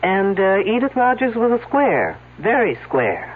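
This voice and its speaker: A woman's voice: mid-range voice, sing-song, a little slow. Wry, probing lady detective. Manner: dry, pointed questioning. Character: sharp, probing female detective questioning a witness. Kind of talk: 1970s radio drama acting. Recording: tinny old radio broadcast.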